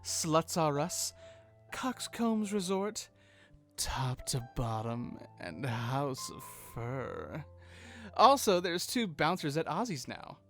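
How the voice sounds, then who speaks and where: A man co-hosting a fan breakdown, giving recap commentary in a playful, excitable and cheeky way. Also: on the high side, slow, soft background music. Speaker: co-host of a fan breakdown show.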